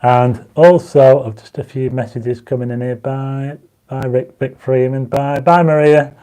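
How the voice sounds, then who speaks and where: A man's voice: medium pitch, steady tone, unhurried, dark tone, clear, resonant tone. Man hosting a chatty livestream. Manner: reads viewer comments aloud, urges likes; enthusiastic when explaining stars. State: dry mouth, voice going croaky. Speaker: livestream host. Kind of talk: casual livestream chat. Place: home astronomy studio.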